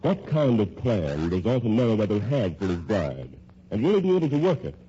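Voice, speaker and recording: A man's voice: fairly low pitch, very animated, a little fast, dark tone. A man with an American accent narrating a vintage radio drama as its announcer, in scripted dramatic style. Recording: muffled, noisy old radio recording.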